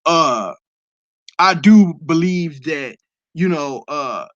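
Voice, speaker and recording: A man talks on a live stream in a fairly high voice, recorded dry and close. He lectures emphatically, answering viewer questions and letting profanity slip in. It sounds like casual, unscripted stream talk. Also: expressive, very slow, fairly bright tone, dry recording, highs cut off. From Detroit, USA.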